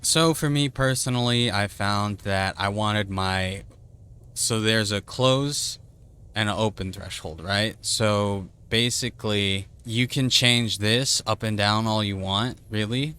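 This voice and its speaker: A man's voice: fairly low pitch, slow, sharp tone, smooth. A man streamer casually explaining audio settings in a loose, chatty way with plenty of fillers, on a desktop streaming mic at his home setup. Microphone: desktop streaming microphone with noise gate.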